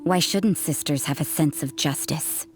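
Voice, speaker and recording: A woman's voice: sits low, a little slow, music in the background. A woman playing a cool, sardonic church sister in a video game, with a dry, cutting and suspicious way of talking.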